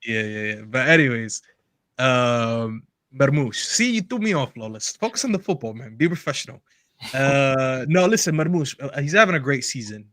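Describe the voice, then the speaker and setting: A man's voice: mid-range voice, animated, normal speed, fairly bright tone, no echo, studio quality. A young man from Egypt who speaks with an American accent, debating football casually and often repeating words as he thinks. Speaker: football podcast panelist.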